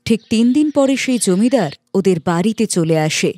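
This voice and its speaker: A woman's voice: mid-range voice, lively intonation, moderate pace, mellow, no echo. A woman reading a scripted children's moral story as its narrator.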